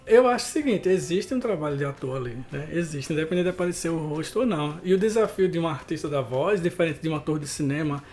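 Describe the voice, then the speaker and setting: A man's voice: fairly high pitch, quick pace, few pauses, darkish tone, a little hiss or hum. A man from Recife with a Pernambuco Brazilian Portuguese accent, a voice artist explaining his craft in an interview, conversational and often adding tag questions.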